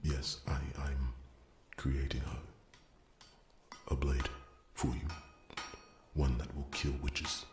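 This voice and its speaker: Very deep, stammering, soft-spoken fantasy blacksmith played by a man. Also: flat delivery, slow pace, pauses often, echoey room, noisy background. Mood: eager, earnest. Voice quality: soft, breathy, often dropping to a whisper. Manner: hesitant, stammering, rambling, apologetic. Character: nervous, rambling, beer-loving male blacksmith in fantasy realm. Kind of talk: ASMR fantasy roleplay monologue, soft-spoken.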